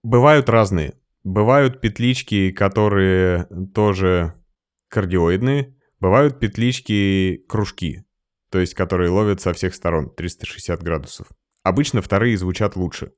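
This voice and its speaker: A man, a sound engineer, explaining microphones in a relaxed podcast conversation, practical and explanatory, with lively emphasis. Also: sits low, measured pace, dry recording, clean studio sound.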